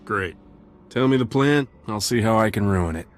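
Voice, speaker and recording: Low-voiced sardonic smuggler captain, acted by a man. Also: lively intonation, close to an everyday voice. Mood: wry. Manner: dry, sardonic, unhurried. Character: roguish male smuggler captain, dry and sardonic. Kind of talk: video game voice acting.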